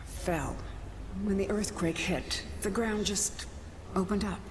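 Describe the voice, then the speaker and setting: A woman's voice: medium pitch, slow, natural voice. An actor, a woman, playing the weary, bitter ghost of an adventurer in a video game, resigned and wry. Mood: grim. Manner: resigned, wry, dramatic delivery. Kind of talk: video game character acting. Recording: long ghostly reverb over loud background noise.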